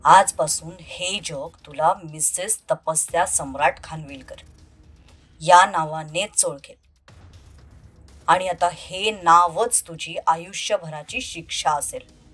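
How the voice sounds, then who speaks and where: A woman voicing a menacing, possessive young male villain who speaks in a slow, commanding, coldly threatening way in an audio love story. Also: fairly low pitch, fairly bright tone, breathy edge, pitched below the performer's own voice, heavily acted. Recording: music under the voice.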